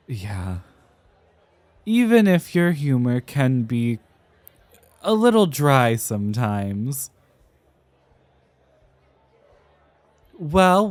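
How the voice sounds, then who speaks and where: A man playing an anxious, rambling young architect in an ASMR roleplay, with crisply enunciated, theatrical but hesitant speech. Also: medium pitch, a little mellow, no echo. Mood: playful, tipsy. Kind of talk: ASMR roleplay, speaking to the listener.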